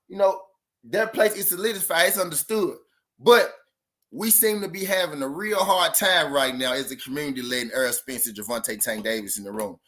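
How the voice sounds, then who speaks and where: Bright, high-voiced man ranting casually. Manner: loose, rambling and profane, repeats himself. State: says he is high. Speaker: boxing channel host. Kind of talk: casual live-stream talk.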